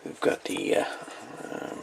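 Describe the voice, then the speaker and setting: A man giving a casual hands-on show-and-tell as a hobbyist, in a folksy, rambling, halting way. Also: slight background noise. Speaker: radio hobbyist presenting salvaged parts.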